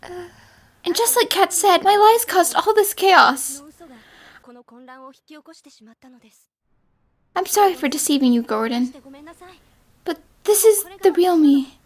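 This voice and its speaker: Woman acting a very high-pitched, shy young countrywoman. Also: expressive, unhurried. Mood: apologetic. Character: shy, self-deprecating young countrywoman, remorseful. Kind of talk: anime dub acting. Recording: background music under the dialogue.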